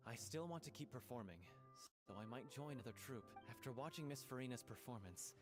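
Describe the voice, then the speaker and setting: Actor as a mild, mid-pitched young troupe performer. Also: male voice, animated, a little fast, lightly acted. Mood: hopeful. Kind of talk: video game voice acting. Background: background music.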